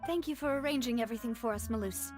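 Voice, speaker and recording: A woman's voice: a little high, fast, music in the background, close to an everyday voice. An actress playing a polite, earnest young woman in a video game, speaking formally and carefully with remorseful sympathy. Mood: courteous.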